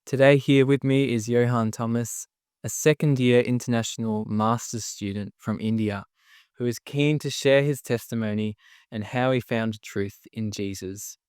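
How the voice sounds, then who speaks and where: A man hosts a podcast in a smooth, clear voice, recorded dry and close to the mic. He asks friendly, encouraging questions and keeps the conversation moving. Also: mid-range voice, fairly even intonation, moderate pace, a little mellow, no echo. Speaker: podcast host. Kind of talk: podcast interview.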